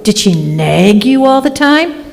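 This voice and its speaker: Curious, dreamy teen girl, played by a woman: unhurried, eager, old radio stage play, echoey hall. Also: a little high, very animated, vocal fry, full character voice. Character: bold, curious, romantic teenage girl, unafraid of ghosts.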